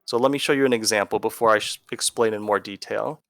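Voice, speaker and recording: A man's voice: fairly low pitch, fast, a bit bright. A man explains as a teacher in a video lesson, conversational and going step by step. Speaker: physics teacher.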